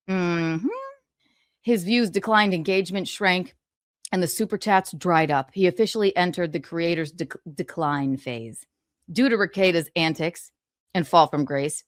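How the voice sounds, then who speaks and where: A woman with a fairly low voice reads book passages to her stream and keeps stopping to poke fun at them. She chuckles often between lines and thanks viewers for donations as she goes. The sound is close and dry, with no room around it. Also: dry recording. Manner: sarcastic asides, frequent chuckles. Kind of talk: reading a book aloud with live commentary.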